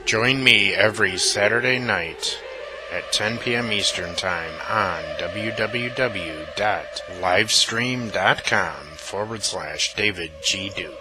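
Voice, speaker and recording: A man's voice: low voice, rough recording. A man delivering scripted radio promos as an announcer and host, recorded with sound behind his voice. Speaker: voice-over artist and internet radio host. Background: music beds.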